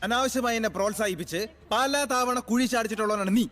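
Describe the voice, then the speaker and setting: A man's voice: sits high, fast, fairly bright tone. A man talking in comic game roleplay over voice chat.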